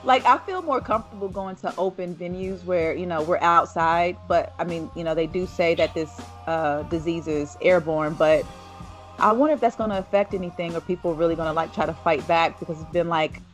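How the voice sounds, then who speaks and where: A young woman hosting a casual podcast chat, her voice raspy, laid-back and laughing often. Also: lowish voice, a little fast, soft background music. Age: young adult. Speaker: host.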